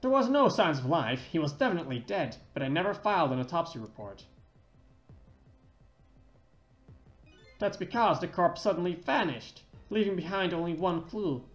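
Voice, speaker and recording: A man's voice: a little high, very animated, brisk, few pauses, soft background music. Man reading as a grave, roomy-sounding junkyard superintendent. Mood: grave, mysterious. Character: older, sombre junkyard superintendent, former medical examiner. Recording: dull lo-fi roomy mic.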